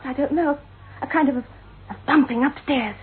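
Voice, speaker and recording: An actress playing a frightened, insistent wife in a radio drama, anxious and urgent. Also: female voice, high voice, moderate pace, slight background noise, natural voice. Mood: urgent, alarmed. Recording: muffled phone-like old radio.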